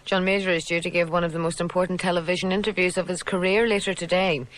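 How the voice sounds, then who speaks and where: Northern Irish woman newsreader, lowish voice. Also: little pitch movement, fast talker. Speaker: radio newsreader. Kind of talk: scripted radio news bulletin.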